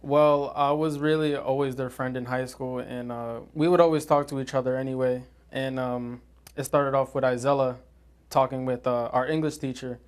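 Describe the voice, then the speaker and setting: Flat-voiced teen boy, hesitant. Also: mid-range voice, normal speed, clean tone. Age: teens. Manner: hesitant, many "uh" and "um". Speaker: guest, student actor. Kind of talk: casual interview answers.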